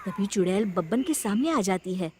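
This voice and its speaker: Lady telling a story, dramatic narration, mid pitch, noise behind her. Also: animated, moderate pace.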